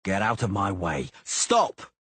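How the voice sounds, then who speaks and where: A man voicing a brash, hotheaded young male duelist who jeers and shouts his challenges, with a husky voice. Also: mid-range voice, big pitch swings, moderate pace, dry recording, voice pushed up. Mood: shouting. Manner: loud, jeering, shouting challenges.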